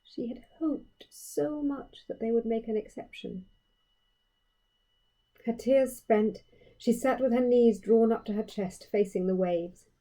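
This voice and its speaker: Woman reading a children's story, gently husky. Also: fairly high pitch, poor audio. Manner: measured, storytelling delivery with emphatic phrasing. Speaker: author reading own story. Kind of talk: expressive children's audiobook narration.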